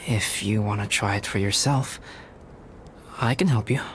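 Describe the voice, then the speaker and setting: A man voicing a composed, scholarly young male alchemist in a video game, formal, measured and thoughtful. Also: on the low side, noisy background, lightly acted. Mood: calm, helpful.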